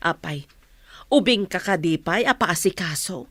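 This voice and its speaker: A woman's voice: lowish voice, expressive, normal speed, noisy background, lightly acted. A woman acting in a radio drama as a protective mother, reproachful and scolding.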